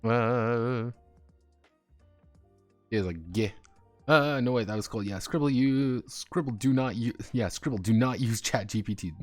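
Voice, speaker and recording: A man's voice: medium pitch, unhurried, long pauses, a little mellow, soft background music. A man live streaming as a game designer, rambling casually between reading draft text aloud and making chuckling asides.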